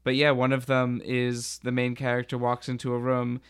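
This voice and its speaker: A man's voice: mid-range voice, completely flat delivery, moderate pace, clear, resonant tone. A man chatting casually as a podcast panelist, explaining and retelling in an informal way.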